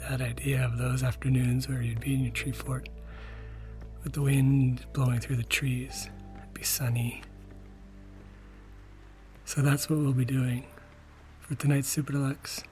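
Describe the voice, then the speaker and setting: Whispery guy, soft and gentle bedtime podcast intro, music playing behind him. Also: medium pitch, flat delivery, a little slow. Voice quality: whispery, breathy. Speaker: podcast host introducing an episode.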